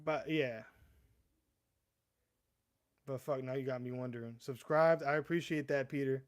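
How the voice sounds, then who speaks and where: A man's voice: mid-range voice, fairly even intonation, unhurried. A young man from the US East Coast hosting a casual livestream, rambling with frequent stammers and restarts and calling viewers "bro". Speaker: livestream host. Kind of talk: casual live chat.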